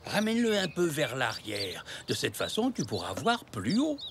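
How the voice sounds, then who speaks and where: An actor voicing a kindly, playful old grandfather zebra in a French children's cartoon, speaking gently and encouragingly. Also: mid-range voice, wildly swooping pitch, moderate pace, noisy background. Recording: music playing under the voice.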